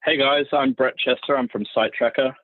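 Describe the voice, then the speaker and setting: A man's voice: medium pitch, steady tone, normal speed, no room sound, phone-quality sound. An Australian-accented man in his thirties, a podcast guest talking casually over thin call audio. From Sydney, Australia. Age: 30s. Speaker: podcast guest, marketing executive. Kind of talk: casual self-introduction.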